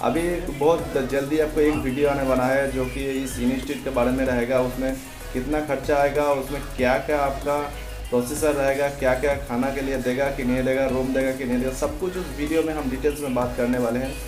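A man's voice: medium pitch, little pitch movement, a little fast. Man explaining over music in a roomy echo. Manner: repetitive, addressing viewers as friends. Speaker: host of a welding advice video. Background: background music.

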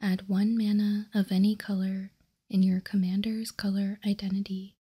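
A woman hosting an ASMR card showcase in a breathy, unvoiced whisper, hushed and deliberate, close to the microphone. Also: mid-range voice, flat delivery. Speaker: host.

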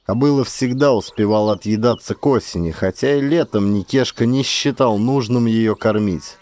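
A man narrating a literary story as a dramatized audiobook, with expressive, theatrical delivery. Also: fairly low pitch, normal speed.

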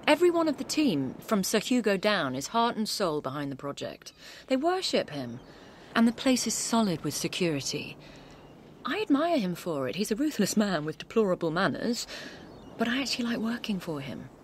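An actress with a British accent playing a sharp-tongued, capable undercover policewoman in a radio drama, brisk and self-assured. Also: medium pitch, very animated, slight background noise, lightly acted. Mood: earnest. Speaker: actor.

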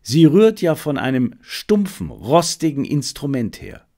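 A man voicing a confident, methodical detective who lays out his reasoning deliberately and assertively in an audiobook. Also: fairly high pitch, very animated, a little mellow. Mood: assured, explaining. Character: confident, methodical male detective explaining a solved case. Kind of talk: dramatised audiobook reading.

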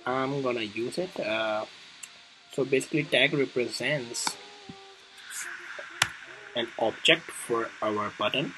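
A man presenting a casual coding tutorial, thinking aloud in a halting way as he demonstrates each step. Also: medium pitch, noisy background. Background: music clearly playing behind the voice.

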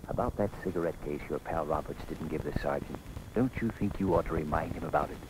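An American actor playing a stern, principled soldier who lectures firmly in a 1940s film. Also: male voice, extremely low pitch, quick pace. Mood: pointed. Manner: lecturing, firm. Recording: noisy 1940s film soundtrack.

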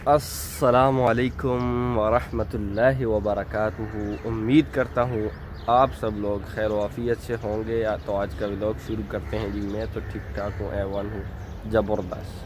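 A man's voice: fairly low pitch, flat delivery, a little slow. A man in his twenties with a Pakistani accent, chatting casually to his phone as a vlogger. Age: 20s. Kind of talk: casual vlog chat. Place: outdoors at a block-walled building site and lane. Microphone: phone at arm's length. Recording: clear outdoor background noise.

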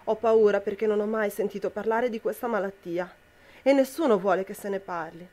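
A woman's voice: mid-range voice, fairly even intonation, fairly quick, warm tone, close to an everyday voice. A young woman plays an unhappy, fearful wife in a radio play. She confides plaintively. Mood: fearful, sad. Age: young adult. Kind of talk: amateur radio drama acting.

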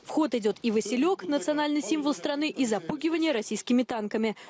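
High-voiced woman news correspondent. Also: fast talker, darkish tone. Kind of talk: scripted news report voice-over.

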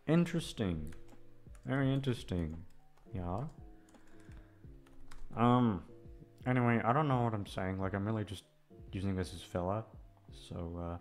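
A man rambling in casual chat to his listeners, hesitant, repetitive, sweary and self-deprecating. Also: lowish voice. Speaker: audio creator addressing listeners.

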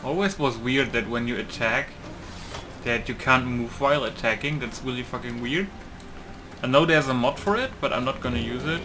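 A man's voice: medium pitch, moderate pace. A man giving casual, rambling gameplay commentary with muttering and offhand swearing. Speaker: gaming video creator. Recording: noisy home setup, loud computer.

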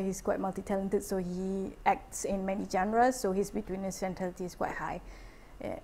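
A woman's voice: mid-range voice, warm tone. A middle-aged woman with a Malaysian accent giving an informal academic seminar over slides, chatty, with many fillers and restarts. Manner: chatty, many fillers and self-corrections, laughs lightly.

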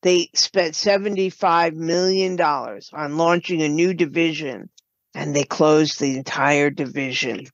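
Slow, halting older woman, slightly muffled recording. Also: deep voice, steady tone, no echo. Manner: halting, deliberate. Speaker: guest, game writer and producer. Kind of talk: conversational interview. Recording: slightly dull, lo-fi sound.

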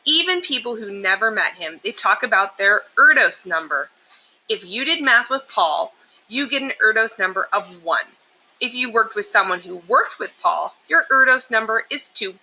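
Woman, bright clear-cut tone, medium pitch, expressive storybook read-aloud, explanatory and emphatic, noticeable background noise. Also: normal speed, rough recording. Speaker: teacher reading a picture book aloud.

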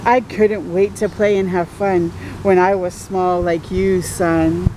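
A woman voicing a patient, playful daddy monster, gently explaining things to his young son in storybook rhyme. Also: lowish voice, flat delivery, takes it slowly, slight background noise, pitched below the performer's own voice, low-quality recording. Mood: patient, gentle.

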